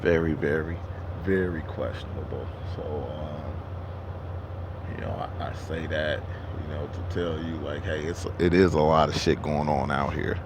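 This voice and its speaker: A man's voice: very deep voice, steady tone, measured pace, noisy background. A truck driver in his thirties talking casually to camera at a truck stop, rambling and profane, with many restarts. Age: 30s.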